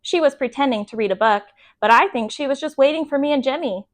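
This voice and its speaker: A woman's voice: sits high, fast talker. A woman reading a children's book aloud as a bedtime storyteller, expressively acting out the dialogue.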